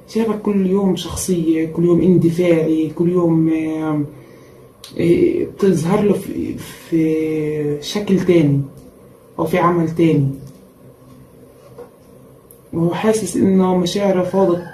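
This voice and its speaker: A woman giving a casual tarot reading in a tired voice, repeating words for emphasis and pausing often with fillers. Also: lowish voice, warm tone. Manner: repetitive and emphatic, with frequent pauses and fillers. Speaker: tarot reader. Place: indoor room at a table with cards and a candle. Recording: echoey room with faint background noise.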